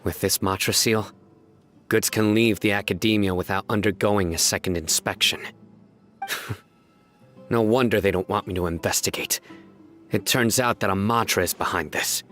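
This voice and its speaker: A grave young investigator from a video game voiced by a man speaks with deliberate, analytical seriousness. His delivery is measured and resolute, over light background music. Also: fairly low pitch, fairly even intonation, fairly bright tone, lightly acted. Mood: serious, deliberate.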